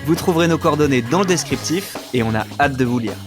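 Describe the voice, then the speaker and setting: A man co-hosting a podcast, giving a measured, scripted explanation as an enneagram coach and trainer. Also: medium pitch, expressive, music in the background.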